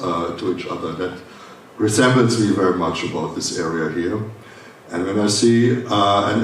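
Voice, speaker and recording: German man speaking haltingly in an echoey hall. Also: fairly low pitch, distant mic. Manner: halting, many "uh" fillers and restarts. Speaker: foundation director giving a welcome speech. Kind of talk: formal welcome speech at a conference. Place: conference hall. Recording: echoey hall, speaker well off the mic, faint hiss.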